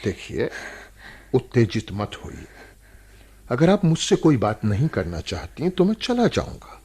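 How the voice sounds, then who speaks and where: An earnest, probing playwright in a radio play. His husky voice rises and falls widely as he questions and pleads with emotional insistence. He leans on dramatic pauses and repeated words. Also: mid-range voice, huge pitch swings, a little mellow, exaggerated voice. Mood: calm, persuasive. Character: earnest, probing male playwright visiting a prison.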